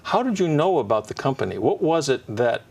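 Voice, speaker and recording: A man's voice: a little high, sing-song, fairly quick, vocal fry, a little mellow, distant mic. A man interviewing a guest on a local TV show, friendly and curious, with short acknowledgements.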